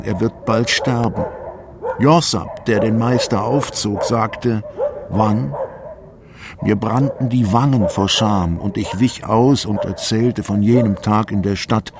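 A troubled male disciple of the early church in a radio drama. He declaims slowly in archaic language, solemn and deliberate, with bitterness and indignation toward those who have cast him out. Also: lowish voice. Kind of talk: literary radio drama monologue.

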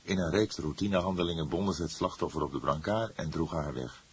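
A man narrating a crime story as an audiobook, reading in a measured, literary manner. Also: extremely low pitch, husky.

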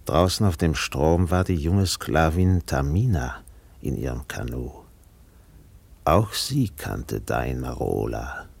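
Deep, gravelly male radio-play narrator. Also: lively intonation, darkish tone. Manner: grave, deliberate. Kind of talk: scripted literary radio drama narration.

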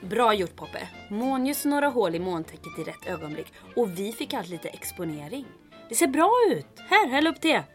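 A woman's voice: on the high side, sing-song, faint music behind. An actress playing a commanding, dryly witty woman captain of a resistance group in old-time radio drama style. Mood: focused, approving. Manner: brisk, commanding, dryly teasing. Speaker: lead character, captain.

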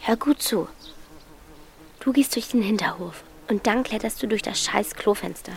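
A woman's voice: mid-range voice, moderate pace, creaky, lightly acted. A woman playing a bold, streetwise thirteen-year-old girl with a blunt, commanding manner in a radio play. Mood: bossy, urgent. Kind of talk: German radio play acting.

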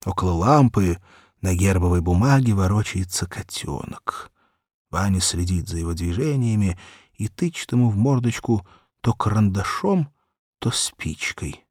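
A male audiobook narrator tells a children's story with theatrical expression. His pitch moves widely, his voice has a gravelly, husky grain, and the sound is close and dry. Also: expressive, a little slow, a little mellow, no echo.

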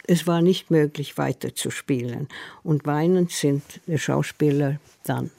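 An older man recalling things in an interview, in halting, German. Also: a little high, vocal fry, dark tone, no room sound, clean studio sound. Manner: halting, non-native German phrasing. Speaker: interviewee recalling past events. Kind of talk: unscripted interview recollection.

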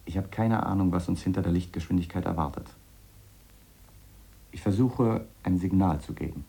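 A man acting an eccentric, obsessed scientist who thinks aloud in a reflective, halting, explanatory way in a radio drama. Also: deep voice, creaky, dark tone. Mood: frank, searching. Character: obsessed eccentric male scientist, musing, earnest, self-doubting. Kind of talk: radio drama monologue in letter form.

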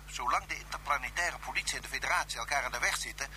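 An actor playing a deferential, somewhat hesitant aide to a Martian ruler, speaking formally in a science fiction radio play. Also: male voice, mid-range voice, very fast, noisy background, lightly acted. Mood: calculating. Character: obedient male aide at a Martian ruler's base.

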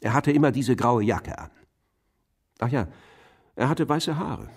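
An actor playing a terse, guarded old pensioner questioned as a neighbour in a German radio drama. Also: male voice, mid-range voice, unhurried, darkish tone, breathy edge. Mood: defensive, matter-of-fact. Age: older. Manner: terse, guarded, matter-of-fact answers. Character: reticent old pensioner, a murdered man's nosy neighbour.

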